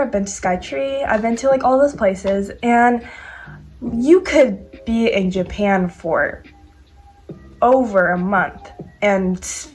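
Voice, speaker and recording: A woman's voice: medium pitch, darkish tone. Chatty teenage girl vlogging. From United States. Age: teens. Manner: chatty, lots of "like" and "um" fillers. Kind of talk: casual chat to camera. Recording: faint background music.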